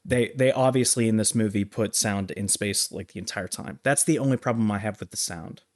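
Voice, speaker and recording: A man's voice: lowish voice, brisk, no echo, clean studio sound. A man co-hosting a film review podcast, chatting casually and stating his opinions firmly. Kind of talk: casual movie discussion.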